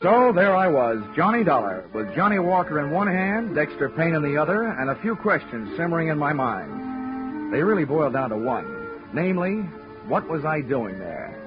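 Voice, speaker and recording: An actor playing a cocky, wisecracking insurance investigator in 1940s radio detective style. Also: male voice, fairly high pitch, sing-song, moderate pace. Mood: wry narrating. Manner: dry, wisecracking. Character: wry, cocky hard-boiled male insurance investigator. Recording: dull old radio recording with music.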